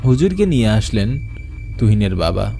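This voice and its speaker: A man's voice: fairly low pitch, sing-song, normal speed, creaky, warm tone, a little hiss or hum. A man narrating a scripted horror story for a cartoon.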